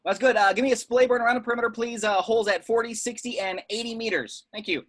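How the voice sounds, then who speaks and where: Fast, bright-voiced, commanding man playing a secret agent. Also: extremely high pitch. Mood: controlled, authoritative. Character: brusque, commanding male secret agent, seasoned and sardonic. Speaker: amateur actor at a table read. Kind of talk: animated script read-through.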